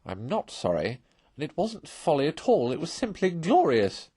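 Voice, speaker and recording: Man voicing a vain, excitable toad, swooping high. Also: moderate pace, breathy edge, dry recording, clean studio sound, heavily acted. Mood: stubborn, exultant. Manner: effusive, emphatic, impatient. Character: vain, defiant, gushing male toad, gentleman of leisure.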